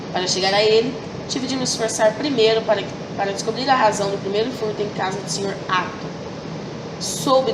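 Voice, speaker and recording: A woman's voice: mid-range voice, lightly acted. Woman acting as a methodical male detective, lecturing, amateur radio play, distant mic, noisy. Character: sharp, commanding male detective. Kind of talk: amateur school radio drama. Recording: distant mic with loud noise.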